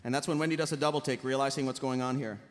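A man's voice: medium pitch, steady tone, rapid-fire. A man narrating a live table read and introducing a cast in a theatrical, grandiose manner. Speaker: series writer reading stage directions and hosting. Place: large convention hall panel stage. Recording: loud crowd noise in convention hall.

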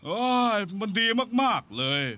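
A man dubbing a plump, soft, cheerful cartoon animal who runs a stage show. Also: a little slow. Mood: eager. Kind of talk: Thai cartoon dub.

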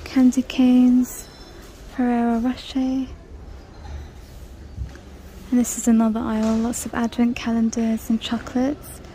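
A woman's voice: on the high side, monotone, a little slow, pauses often, mellow, slight background noise, poor audio. A woman vlogging a shop walk-through, chatting casually about items and reading out prices in a large store. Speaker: shopping vlogger. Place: large indoor discount store. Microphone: handheld phone camera.

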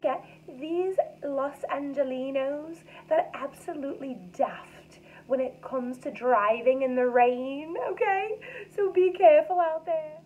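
A woman's voice: sits high, slow, a little mellow, lo-fi sound, a little hiss or hum. A woman from Liverpool with a Scouse accent, chatting casually and gushing through a cooking vlog in her kitchen. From Liverpool, England. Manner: chatty, gushing, dialect-heavy.

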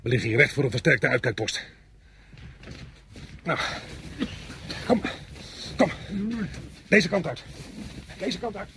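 An actor playing an exasperated soldier on patrol in a radio drama, speaking urgently and bluntly. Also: male voice, a little high, very animated, slow, long pauses, sharp tone, a little hiss or hum, full character voice. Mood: angry. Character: tense, exasperated male soldier on night patrol.

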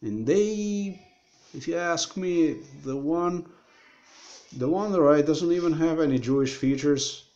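A man's voice: on the high side, unhurried, darkish tone, lo-fi sound. A man in his thirties rambling through a screen-share vlog, repeating himself and talking straight to viewers from a room. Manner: meandering, repeats himself, addresses viewers directly. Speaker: vlogger.

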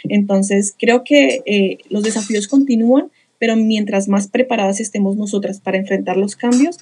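Husky-voiced young woman on a video call. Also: mid-range voice, steady tone, moderate pace, a little mellow. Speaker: journalist and author, panel guest. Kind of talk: panel discussion on a video call. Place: office room. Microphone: laptop webcam on a video call.

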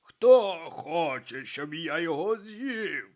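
The reader voicing a hungry male cannibal in carnival costume, dragging out his threats slowly. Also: medium pitch, big pitch swings, darkish tone, pitched above the performer's own voice. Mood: menacing.

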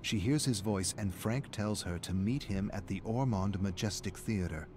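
A man reading a scripted story aloud as narrator, in a measured, dramatic storytelling manner. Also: fairly low pitch, over music.

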